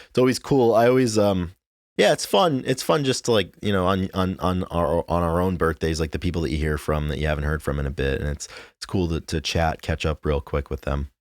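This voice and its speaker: Podcast co-host, man in his twenties, extremely deep voice, dry close sound, measured and reflective. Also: no echo. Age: 20s. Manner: reflective, measured, thinks aloud. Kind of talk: casual chat.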